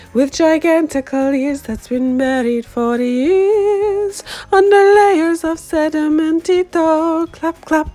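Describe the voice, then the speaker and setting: A woman putting on a mischievous little boy cat, chanting and sing-songing his rhymes with a put-on Spanish accent. The voice sits fairly high and bounces lively up and down, in a storybook read-aloud. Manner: playful sing-song chanting. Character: mischievous young boy Siamese cat who fancies himself a Chihuahua.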